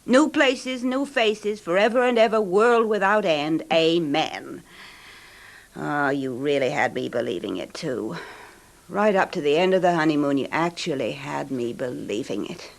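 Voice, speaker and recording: A fed up sarcastic wife, lowish voice, swoopy and biting, 70s radio drama style. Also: female voice, expressive, a little slow, creaky, exaggerated voice. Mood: mocking. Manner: cutting, mocking, theatrically emphatic. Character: bitter, sardonic younger wife of rich recluse. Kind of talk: 1970s radio drama acting.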